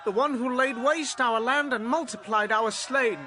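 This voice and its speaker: An actor playing an urgent ancient warrior in a dramatized audio Bible, insistent and declamatory. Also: male voice, very high-pitched, a little slow, pushed and bright, heavily acted. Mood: exultant.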